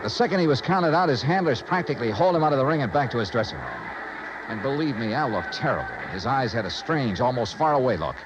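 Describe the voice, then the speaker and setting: Radio noir investigator played by a man, hard-boiled and brisk, very varied pitch, clipped delivery, noisy vintage recording. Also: animated, lightly acted. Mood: intent narration. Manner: clipped, urgent, wry. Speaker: lead detective and narrator. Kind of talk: 1950s radio noir narration and acting.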